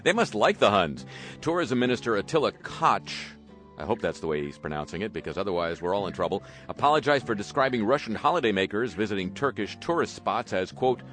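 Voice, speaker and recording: A man's voice: lowish voice, very animated, brisk, a bit bright, soft background music. A man hosting a talk show, reading news items with wry, sarcastic asides. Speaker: host. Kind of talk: radio talk commentary.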